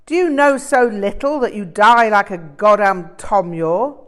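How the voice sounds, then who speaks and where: A woman voicing a furious, commanding male yogi master in a storybook reading, yelling forcefully. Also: mid-range voice, unhurried, voice pushed up, natural voice.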